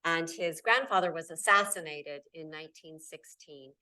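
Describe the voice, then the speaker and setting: A woman's voice: low voice, moderate pace, pushed and bright. Middle-aged woman from nebraska giving a keynote, emphatic, mic kinda far away. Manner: emphatic, repeats key words in lists. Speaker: keynote speaker. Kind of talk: conference talk. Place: lecture hall.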